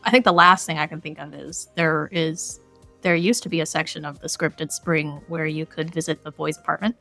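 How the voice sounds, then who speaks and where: Female game developer guest, slightly low creaky voice, giggly, casual podcast chat. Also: steady tone, normal speed, sharp tone, faint music behind. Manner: giggly, laughs through remarks.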